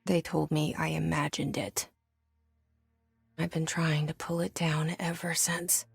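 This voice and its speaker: An actress playing a guarded, haunted young woman in a video game, speaking introspective lines in a breathy, hushed, brooding way. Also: low-pitched, flat delivery, heavily acted. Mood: confiding, wry. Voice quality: breathy, hushed, often near a whisper. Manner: slow, brooding, with deliberate pauses. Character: guarded, haunted young woman narrating her private thoughts. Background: music.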